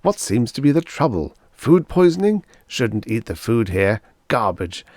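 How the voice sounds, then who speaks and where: A man voicing an eager, blunt doctor in a story, with brisk, clipped exclamations. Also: mid-range voice, big pitch swings, a little mellow, no room sound, clean studio sound. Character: eager, blunt male doctor starved of patients.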